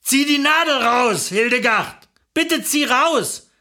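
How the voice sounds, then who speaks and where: A woman's voice: high-pitched, lively intonation, slow, pushed and bright, heavily acted. A woman voicing a nervous, burly middle-aged husband who pleads in a trembling voice. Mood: panicked.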